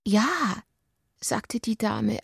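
A woman reading literary narration for a radio drama in a breathy voice that often drops near a whisper. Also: medium pitch, big pitch swings, very slow, dry recording, clean studio sound.

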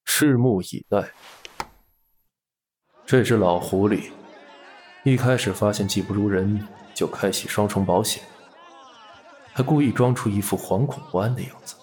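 A man's voice: on the low side, darkish tone, close to an everyday voice. A man playing a suave, scheming boss, smug and teasing with a threat underneath, in a Chinese audio drama. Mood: cold, calculating. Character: suave, scheming male boss, indulgent and menacing.

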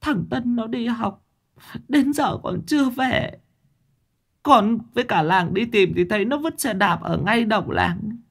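A man's voice: high-pitched, lively intonation, measured pace, creaky, voice pushed up, heavily acted. A man voicing a distraught middle-aged village mother who sobs and pleads. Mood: tearful. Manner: wailing, sobbing pleas.

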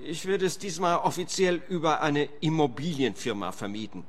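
An actor playing a petty, self-important landlord who grumbles emphatically in a radio play. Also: male voice, a little high, moderate pace, natural voice. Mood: grumbling. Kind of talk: German-language radio play acting.

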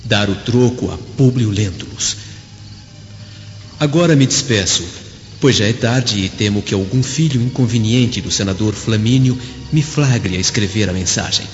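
A man playing a deep-voiced young slave, reverberant. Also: moderate pace, natural voice. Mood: bitter, resolute. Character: young male slave, outwardly deferential, secretly vengeful. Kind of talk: Brazilian radio drama acting. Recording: dull audio, long reverb, music behind.

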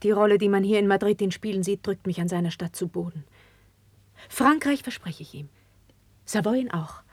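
An actress playing a dignified, guarded queen in a formal, hushed manner, in the style of classical 1950s radio drama. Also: medium pitch, normal speed, dry recording, close to an everyday voice. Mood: resolute, fervent. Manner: formal, stately, hushed verse delivery.

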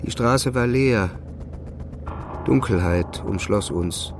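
Haunted narrator over prominent music. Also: male voice, on the low side, slow. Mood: tense, awed. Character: haunted male physicist narrating his own visions. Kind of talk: dramatic first-person narration in radio drama.

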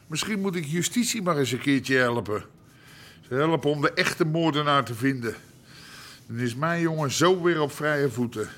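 An actor playing a boastful old-school Amsterdam crime boss in a radio drama, with colloquial, blustering speech. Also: male voice, fairly high pitch, expressive, moderate pace, a bit bright.

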